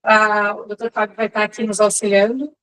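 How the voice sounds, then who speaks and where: A woman's voice: medium pitch, level tone, fairly quick, a bit bright, low-quality recording. A woman hosting a panel, politely welcoming the guests and introducing each one by title. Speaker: host, moderator.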